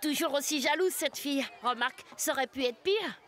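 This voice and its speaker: A woman's voice: sits high, fairly quick, fairly bright tone. A woman voicing a cheeky, scheming nine-year-old boy in a children's cartoon, slangy and cocky. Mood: sly scheming. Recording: music behind the voice.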